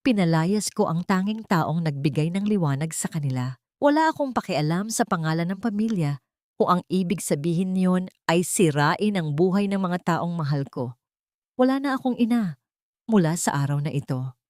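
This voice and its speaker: Betrayed rich father for audio drama, woman performing: icy anger, scornful accusations, fairly low register. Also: expressive, moderate pace, a little mellow, no echo, clean studio sound, natural voice. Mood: pained fury. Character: cold furious betrayed man, tycoon and father.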